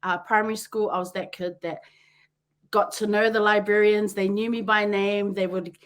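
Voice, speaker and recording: A woman with a New Zealand accent hosting a podcast, chatty and quick to laugh, often wandering off on tangents. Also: mid-range voice, flat delivery. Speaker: podcast host. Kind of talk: casual chat.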